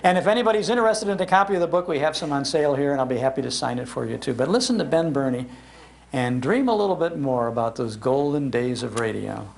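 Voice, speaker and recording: A man's voice: medium pitch, animated, quick pace, gravelly, noisy background. An American man in his sixties, a radio host giving an informal talk to an audience. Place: public library meeting room.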